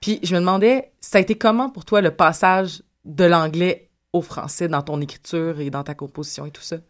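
A woman's voice: on the low side, a little fast. A woman with a Quebec French accent, interviewing a musician with hesitant, repeated starts and easy laughter. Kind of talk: casual interview questions.

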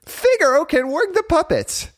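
A man's voice: high voice, wildly swooping pitch, moderate pace, voice pushed up. A man voicing an eager, mischievous young puppet boy in a children's storybook. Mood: excited. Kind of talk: animated children's storybook character reading.

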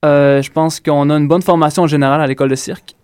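A man's voice: a little high, fairly even intonation, fast, darkish tone, clean tone, no echo, clean studio sound. A young man from Ontario, raised in Quebec, with a Quebec French accent, answering interview questions as a circus school graduate with frequent hesitations. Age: young adult. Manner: hesitant, many "euh" fillers, earnest.

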